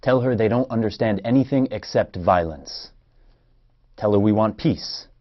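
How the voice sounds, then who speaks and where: A man's voice: fairly low pitch, moderate pace, lightly acted. An actor in a play reading, playing an anxious, protective man in an Israeli family, on a dull recording. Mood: tense, insistent.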